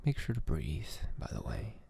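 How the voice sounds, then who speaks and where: A young man hosting an ASMR channel, softly whispering a poetry reading, hesitant and full of fillers. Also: a bass voice, huge pitch swings, normal speed, vocal fry, breathy edge.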